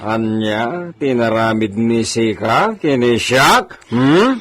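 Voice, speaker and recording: An actor playing an irascible, imperious master in a radio drama, gruff and exclaiming, blurting bits of Spanish. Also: male voice, medium pitch, expressive, slow pace, music in the background.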